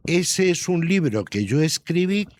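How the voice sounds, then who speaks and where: A man's voice: a little high, very animated, a little slow, a little hiss or hum. A man talking as an interviewed author, explaining things in a didactic, storytelling way and often checking in with short tag questions. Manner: didactic, checks in with rhetorical tags. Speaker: interviewed children's book author.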